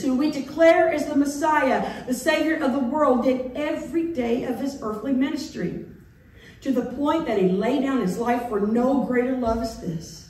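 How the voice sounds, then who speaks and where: A middle-aged woman pastor preaching a sermon in a church sanctuary, playful and animated, acting out the voices of biblical figures. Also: on the high side. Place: church sanctuary with organ pipes. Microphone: far camera in the pews. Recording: echoey church from a distance.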